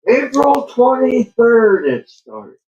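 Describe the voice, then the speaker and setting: Slow speech, laid-back short answers, dull lo-fi sound. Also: mid-range voice, sing-song, darkish tone. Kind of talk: casual chat.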